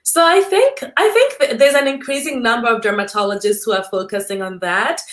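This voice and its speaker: A woman's voice: fairly high pitch, brisk, a bit bright. A woman from Kenya talking conversationally as an interview guest, explaining things earnestly in a personal storytelling way. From Kenya, Nairobi.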